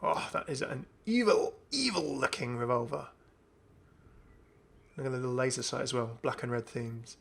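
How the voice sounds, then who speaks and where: A male gaming host gives casual, chatty commentary. His mid-pitched voice has plenty of creak, and he sounds enthusiastic about what he is showing. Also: normal speed, fairly bright tone. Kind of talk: casual gameplay showcase commentary.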